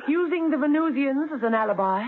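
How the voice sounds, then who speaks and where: A woman's voice: high voice, lively intonation, a little fast. An actress playing a cool, witty young female spy heroine with dry, unflappable humour in a 1970s radio drama. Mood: defiant wit. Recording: tinny old radio broadcast.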